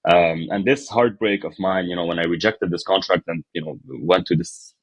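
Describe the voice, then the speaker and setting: A man's voice: low voice, moderate pace, no room sound, poor audio. A young man from Lebanon, a podcast guest telling his life story in a casual, hesitant way with many fillers and restarts.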